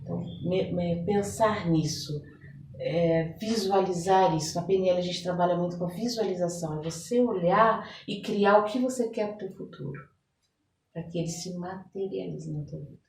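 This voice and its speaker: Brazilian woman podcast guest, lowish voice, reflective and expressive, kinda far from the mic. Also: normal speed, a little hiss or hum. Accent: Brazilian Portuguese. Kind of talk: casual podcast conversation. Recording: echoey room, distant microphone.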